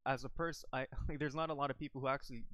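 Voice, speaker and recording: A man's voice: mid-range voice, fairly even intonation, very fast, a bit bright, smooth, dry recording. A young man from the Philippines chatting casually on a live stream from home, rambling with lots of fillers and restarts into a desk microphone. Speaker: YouTuber streaming about an online game.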